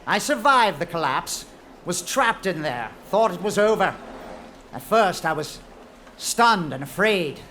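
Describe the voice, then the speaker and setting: Man acting a high-voiced, vengeful treasure hunter. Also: sing-song, measured pace, sharp tone, a little hiss or hum, heavily acted. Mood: bitter, accusing. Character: bitter, obsessive male treasure hunter, vengeful rival named Jacob. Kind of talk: live macabre audio drama acting.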